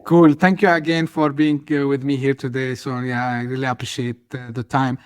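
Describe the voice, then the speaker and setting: A man's voice: mid-range voice, level tone, normal speed, clear, resonant tone, no room sound, studio quality. A man hosting an interview podcast, asking long, hesitant questions full of fillers. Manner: hesitant, many "you know" fillers. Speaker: podcast host.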